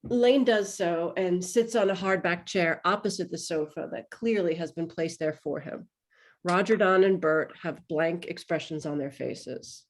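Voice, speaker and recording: Woman narrating a script's stage directions, kinda low voice. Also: a little slow.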